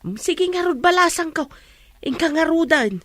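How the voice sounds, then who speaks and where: A kindly older village woman in a radio drama. Her voice is very high and sounds close and dry. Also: lively intonation, normal speed, fairly bright tone, dry recording.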